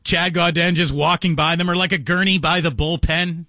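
A man's voice: fairly high pitch, steady tone, moderate pace, sharp tone, no echo, studio quality. A man hosting sports talk radio, commenting in a mocking, incredulous, jokey manner. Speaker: radio talk show host.

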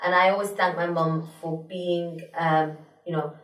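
A woman's voice: on the low side, level tone, measured pace. A young woman, a teacher, speaking as a studio guest, fluent and chatty with frequent fillers. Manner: fluent, chatty, peppered with you know. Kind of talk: studio interview. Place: small studio in front of a pink backdrop. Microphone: desk mic on a stand. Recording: roomy sound from a distant mic.

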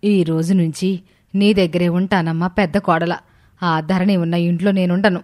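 A woman's voice: on the low side, steady tone, moderate pace, a little mellow, natural voice. Woman voicing a proud older mother-in-law. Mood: aggrieved.

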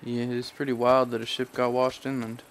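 Male streamer, mid-pitch, rambling analytical chat over game noise. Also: monotone, normal speed. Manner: chatty, digressive, thinking aloud. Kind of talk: casual commentary over gameplay.

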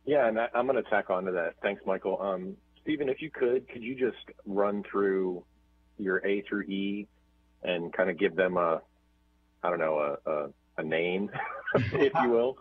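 Deep-voiced man chairing a meeting. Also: measured pace, breathy edge, no room sound. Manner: rambling, repeats words. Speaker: board chair. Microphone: video call. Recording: tinny phone-like call audio.

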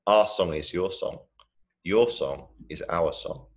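A man voicing a wise, forgiving blackbird in a storybook, gently chiding and urging. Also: low-pitched, takes it slowly, voice pushed down. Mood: earnest urging. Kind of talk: storybook reading.

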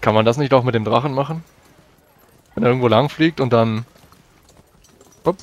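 Mid-pitched man role-playing casually. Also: moderate pace, muffled recording. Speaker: tabletop role-player. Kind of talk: casual in-character talk in a fantasy tabletop game.

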